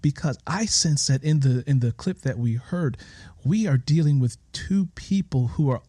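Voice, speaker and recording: A man hosting an interview in a reflective, conversational way, deliberately building and restating his questions. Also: on the high side, expressive, warm tone, dry recording, clean studio sound. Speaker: interview host.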